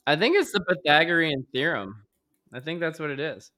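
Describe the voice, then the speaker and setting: A man's voice: fairly high pitch, lively intonation, moderate pace, pushed and bright. A man co-hosting a podcast, making dry, self-mocking jokes in casual chat. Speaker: podcast co-host.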